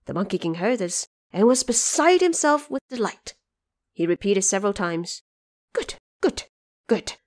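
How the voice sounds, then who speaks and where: A woman's voice: medium pitch, very animated, normal speed. A woman narrating an audio drama story from a script.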